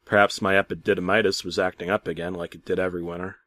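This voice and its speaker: A man narrating a comic first-person horror tale as a storyteller, theatrically shifting between hushed asides and loud exclamations. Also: deep voice, flat delivery, quick pace, no room sound. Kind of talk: dramatic first-person creepypasta narration, comedic.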